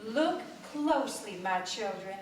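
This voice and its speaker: A woman reading literary narration aloud on stage in a theatrical, deliberate way, in short fragments. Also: mid-range voice, lively intonation. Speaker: stage reader in a live ensemble reading. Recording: echoey hall from far off, noisy.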